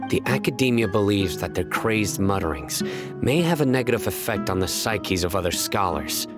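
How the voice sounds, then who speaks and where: A man voicing a stern, solemn former law enforcer in a video game, speaking bluntly and formally. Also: sits low. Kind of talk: video game character dialogue.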